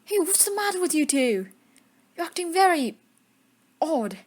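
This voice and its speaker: A woman's voice: very high-pitched, sing-song, normal speed, darkish tone. A woman playing a spiteful, arrogant schoolboy wizard bully with a sneer in a fan audio drama. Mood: suspicious.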